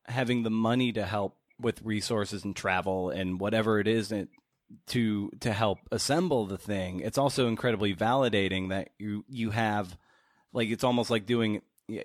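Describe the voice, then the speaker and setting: Low-key male podcast interviewer, halting delivery. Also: dry recording, clean studio sound. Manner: halting, repeats words, long winding questions. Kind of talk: conversational long-form interview.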